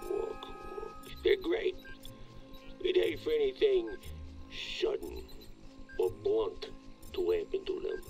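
A man's voice: sits low, creaky, heavily acted. An actor playing a menacing, mock-courteous male loan shark leaving veiled threats on a phone in a video game. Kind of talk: scripted game phone message.

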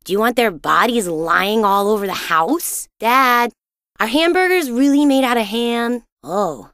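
Hyper little cartoon game boy, kinda high voice, super bouncy pitch, dramatic and braggy, dry close sound. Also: a little slow, no room sound. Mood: earnest, bragging. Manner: excitable, dramatic, childlike. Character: boisterous young boy, imaginative, boastful cartoon son. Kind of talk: animated video game voice acting.

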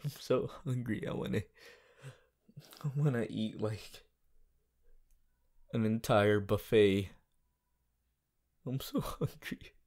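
A man's voice: fairly low pitch, slow pace, long pauses, a little mellow. A man voicing a goofy, whiny teenage anime boy, a clingy boyfriend rambling hesitantly in an audio roleplay. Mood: whiny, drawling. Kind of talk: improvised audio roleplay addressed to the listener.

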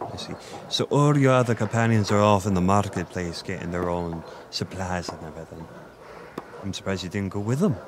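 A man plays a flirty Irish innkeeper in a fantasy roleplay audio, with a lowish voice. He is teasing and gentle, chatting intimately and poking fun at himself. Clear background ambience runs behind his voice. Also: noisy background. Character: flirty Irish male innkeeper, gentle, self-deprecating former adventurer.

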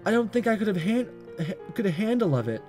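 A man reads as a tender teenage schoolgirl in a visual novel, pitched high and swooping with feeling. She is anxious and halting, openly in love. Music plays behind the voice. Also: big pitch swings, a little mellow. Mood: relieved, tearful worry. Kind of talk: visual novel voice-over read aloud.

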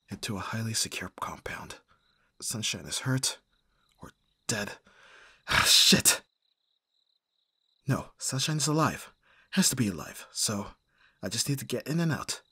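Husky, intense bodyguard played by a man. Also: medium pitch, pushed and bright, no room sound, full character voice. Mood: panicked, self-talk. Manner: intense, sighing, swearing. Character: abrasive male bodyguard, desperate and devoted to his client. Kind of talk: audio roleplay acting.

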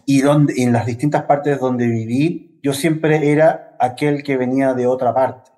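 A middle-aged man answers interview questions reflectively and haltingly, speaking over a video call with earphones. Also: mid-range voice, fairly even intonation, warm tone. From Venezuela and Chile. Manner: reflective, halting, often adds "¿ya?" tags. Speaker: interview guest, academic researcher. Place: plain office, indoors. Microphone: wired earphones on a video call.

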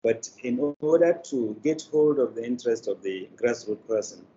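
A man's voice: medium pitch, expressive, normal speed, mellow. An older man with a Nigerian accent giving formal, hesitant interview answers as a company director on a video call. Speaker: managing director, interview guest. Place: indoors in an office room on a video call. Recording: dull, faintly noisy video call.

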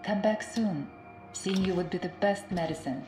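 An actress playing a gentle, caring woman medic in a video game, soft and reassuring. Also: mid-range voice, moderate pace, roomy sound, music in the background, natural voice. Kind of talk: dramatic video game acting.

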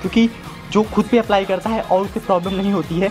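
Young male amateur fitness instructor, twenties, higher-pitched voice, casual step-by-step delivery, clear music bed underneath. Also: steady tone, fast. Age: 20s. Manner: casual, instructive, repeats phrases. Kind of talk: workout demonstration talking to viewers. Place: outdoors in a brick-walled yard or rooftop. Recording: loud music behind voice.

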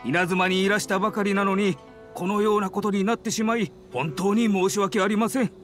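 An actor playing a courteous, earnest, apologetic editor in a Japanese anime game, very polite and deferential. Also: male voice, high voice, fairly even intonation, brisk, faint music behind, natural voice. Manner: very polite, deferential business speech.

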